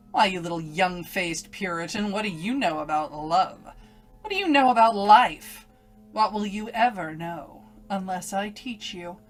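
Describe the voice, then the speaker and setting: Slow, menacing female evil alter ego, acted by a woman. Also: fairly low pitch. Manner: mocking, menacing, taunting laughter. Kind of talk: old-time radio horror drama acting.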